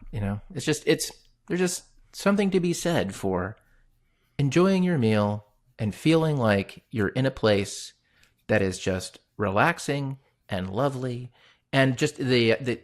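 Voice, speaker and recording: A man co-hosting a podcast in a casual, conversational style. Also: lowish voice. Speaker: podcast co-host.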